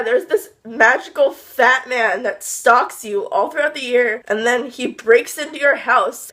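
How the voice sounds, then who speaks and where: A young woman with a fairly high voice chats through a casual book review. She gushes and rambles, full of "like" and exasperated asides. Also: sharp tone. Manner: chatty, gushing and rambling, with frequent "like" and exasperated asides.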